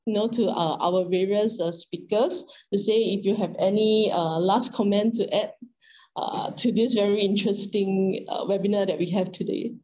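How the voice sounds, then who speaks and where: Mellow Singaporean woman moderating a panel. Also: medium pitch, poor audio. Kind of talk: moderating an online panel discussion. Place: indoors, on a video call. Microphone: video call microphone.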